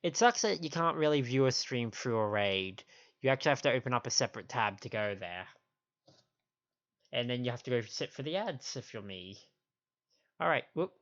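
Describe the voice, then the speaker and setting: Sniffly man streaming, slightly low-pitched and dull-sounding. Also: animated, moderate pace, pauses often, a bit bright. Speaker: game livestream host. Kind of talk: casual stream chat. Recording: dull lo-fi stream audio, faint music.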